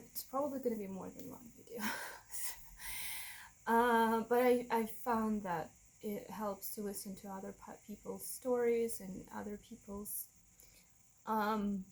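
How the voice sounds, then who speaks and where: A woman in her thirties with a Russian accent, whose first language is Russian, telling a personal story to camera in a candid, halting way, with frequent restarts and soft nervous laughs. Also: fairly high pitch, poor audio. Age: 30s. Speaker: vlogger.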